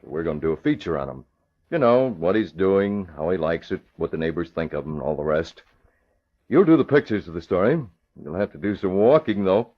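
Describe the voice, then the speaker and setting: An actor playing a young newspaper publisher in an old radio drama, a crisp, matter-of-fact boss giving directions. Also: male voice, deep voice, animated, fairly quick. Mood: businesslike. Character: young, businesslike male newspaper publisher, brisk and calm boss. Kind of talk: 1940s-50s radio acting. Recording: dull vintage radio recording.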